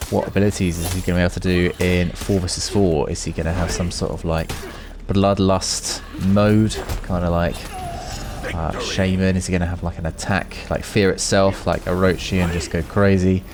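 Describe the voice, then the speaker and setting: A man's voice: low-pitched, little pitch movement, moderate pace, background noise. A man hosting a gaming video, giving casual, unscripted commentary and musing aloud as he goes. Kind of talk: casual off-the-cuff gameplay commentary.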